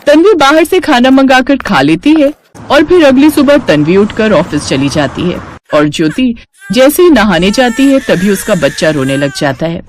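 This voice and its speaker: A woman's voice: a little high, very animated, moderate pace, darkish tone, a little hiss or hum. A woman narrating a scripted family moral story as a storyteller.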